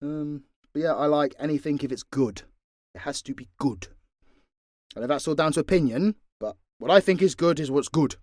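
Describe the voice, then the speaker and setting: A man's voice: on the high side, normal speed, darkish tone, dry recording, clean studio sound. A British man in a casual, unscripted Q&A as an audio creator, talking bluntly and swearing freely. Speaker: audio drama creator answering fan questions.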